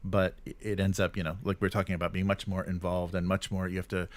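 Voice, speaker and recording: Low-voiced man, halting casual talker. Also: monotone. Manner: halting, searching for words. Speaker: co-host of a weekly tabletop gaming show. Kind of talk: casual news discussion.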